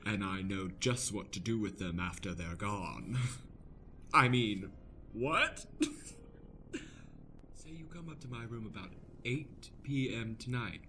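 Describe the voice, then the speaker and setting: A man voicing a vain, flirtatious, faintly sinister airship hostess in an improvised comedic radio play, with theatrical, swooning, drawn-out delivery. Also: lowish voice, animated, bright, forceful tone, slight background noise, heavily acted. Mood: seductive.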